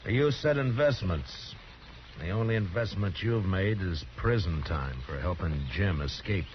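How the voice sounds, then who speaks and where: An actor playing a steady, world-weary American marshal, firm but kind, in a 1950s western radio drama. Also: male voice, deep voice, a little slow, slight background noise. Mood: stern. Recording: muffled old radio recording, music bed.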